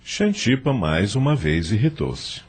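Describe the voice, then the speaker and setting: A man with a Brazilian accent acting as storyteller, giving scripted dramatic narration for an audio novel in a husky voice. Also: fairly low pitch, very animated, a little slow, darkish tone, exaggerated voice. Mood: narrating.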